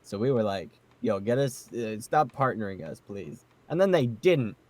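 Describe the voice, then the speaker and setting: A man hosting a casual group podcast, talking in an excitable, jokey way with some mild swearing. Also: fairly low pitch, moderate pace. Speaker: podcast host and amateur voice actor.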